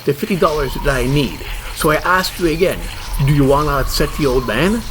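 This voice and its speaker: A man's voice: a little high, brisk, noisy background, lightly acted. A man playing a fussy, stubborn and rather dim monster hunter in a comedy audio drama. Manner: defensive, obtuse, matter-of-fact.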